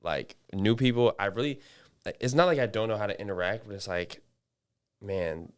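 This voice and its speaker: Deep, smooth-voiced young man. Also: moderate pace. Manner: rambling, many restarts and fillers. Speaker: podcast host. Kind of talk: casual chat.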